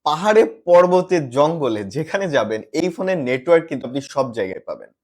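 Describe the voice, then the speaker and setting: A man's voice: a little high, big pitch swings, fast. A man presenting a product review to viewers as a gadget reviewer, mixing in English words and phrases.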